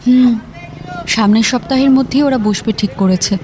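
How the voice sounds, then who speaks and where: A young woman acting in an audio drama as a worried, wistful sister, confiding in a halting, emotional way. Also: a little high, warm tone, a faint crowd, close to an everyday voice. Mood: worried, hesitant. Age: young adult. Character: worried, wistful young working woman devoted to her ailing brother. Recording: music bed under the voice.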